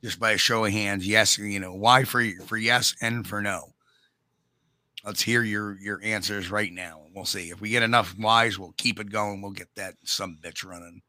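Blunt New York man, low bright voice. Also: fairly even intonation, normal speed, no room sound, clean studio sound. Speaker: co-host. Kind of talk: casual podcast chat with live chat.

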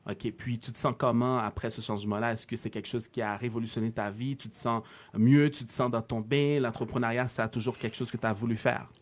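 A man's voice: medium pitch, steady tone, brisk. A man hosting a podcast interview, upbeat and chatty, with lots of fillers. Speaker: podcast host. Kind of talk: casual interview chat.

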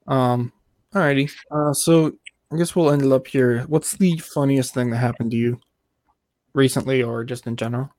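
A man hosting a podcast, asking questions in a relaxed, loose way with fillers. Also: mid-range voice, moderate pace, no echo. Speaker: podcast host. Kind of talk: casual interview hosting. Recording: dry close mic.

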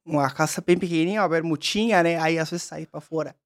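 A man's voice: on the high side, fast, close to an everyday voice. Guy doing a bragging dude, laid-back, keeps saying cara. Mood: boastful. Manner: boastful, laid-back, drops filler "cara" constantly. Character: boastful Argentine man living in Brazil, cheerful.

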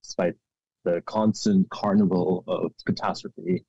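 A man's voice: low-pitched, fairly even intonation, unhurried, warm tone, slightly rough, dry recording, highs cut off. A man in his forties from Pakistan, a history professor being interviewed, who speaks reflectively with frequent restarts and fillers and a wry turn of phrase. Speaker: guest historian.

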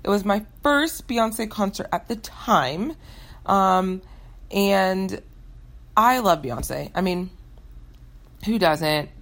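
A woman's voice: mid-range voice, animated, extremely slow, long pauses, fairly bright tone. A young American woman hosting a casual, upbeat question-and-answer show, chatting informally to listeners. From United States. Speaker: host of a Q&A show.

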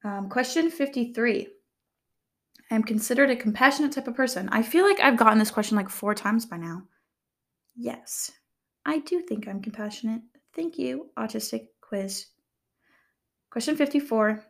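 A young woman chatting casually as she reads out quiz questions, rambling with lots of fillers and joking at her own expense. Her voice is soft and calm. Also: fairly high pitch, normal speed, vocal fry, long pauses. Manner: chatty, rambling, filler-heavy, self-deprecating humor. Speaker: vlogger. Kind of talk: casual chat, reading quiz questions aloud.

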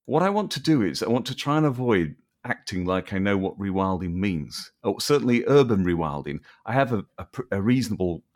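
A man's voice: lowish voice, animated, a little fast, few pauses, darkish tone. A man hosting a podcast, asking long, rambling, hesitant questions. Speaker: podcast host. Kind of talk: podcast interview.

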